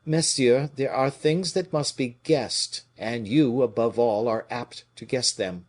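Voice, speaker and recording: A man's voice: medium pitch, unhurried, darkish tone, close to an everyday voice. A man voicing a stern, imperious king with a haughty, commanding manner in an audiobook. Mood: acrimonious. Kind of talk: dramatic audiobook character reading.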